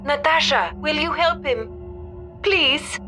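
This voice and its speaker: Audio drama role: anxious, hesitant young girl, high-pitched and slow, pleading. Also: over music.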